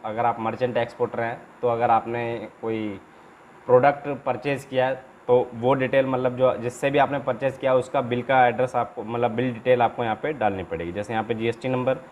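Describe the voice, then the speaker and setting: A man gives an informal tutorial in a mid-pitched voice. He explains things step by step, circles back over his points and keeps checking that the listener follows. There is some background noise and room ambience behind him. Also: steady tone, brisk, darkish tone. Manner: repetitive explaining with frequent check-in tags. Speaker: trainer and channel host. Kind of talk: informal instructional video, explaining documents step by step.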